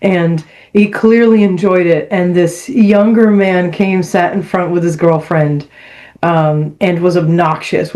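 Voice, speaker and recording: A woman co-hosting a podcast in casual chat, expressive and apt to act out quotes as she tells things. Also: fairly low pitch. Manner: expressive, slips into quoted voices. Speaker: podcast host.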